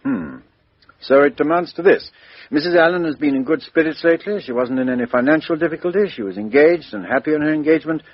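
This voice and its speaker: An actor playing a businesslike, methodical British police inspector in a radio drama, putting questions with small hesitant lead-ins. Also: male voice, on the high side, quick pace, slightly rough, dry recording. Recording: dull, lo-fi radio drama recording.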